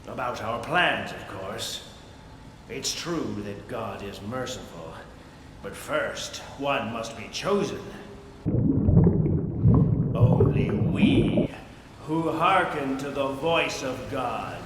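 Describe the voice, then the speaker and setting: A man voicing a fanatical, menacing middle-aged religious zealot in a video game, with a deliberate, sermonizing delivery. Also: animated. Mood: smug, pious. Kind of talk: dramatic game cutscene acting. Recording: very echoey hall, loud rumbling noise.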